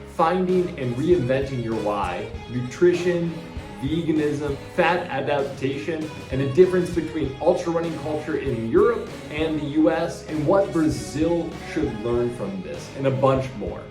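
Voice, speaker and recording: A man's voice: medium pitch, moderate pace. A man hosting a podcast, chatting enthusiastically and often restarting his sentences. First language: English. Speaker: podcast host. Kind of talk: casual interview chat. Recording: roomy echo with faint music.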